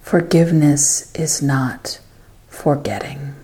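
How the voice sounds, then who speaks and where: Female meditation guide, low and mellow, unhurried devotional delivery with phrases that fade softly. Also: fairly even intonation.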